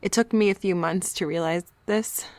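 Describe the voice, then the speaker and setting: A woman's voice: fairly low pitch, normal speed, darkish tone, no room sound, natural voice. An actress playing an embarrassed, vulnerable young alcoholic woman, confiding hesitantly in an audio drama monologue. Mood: hurt, embarrassed. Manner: hesitant, confessional.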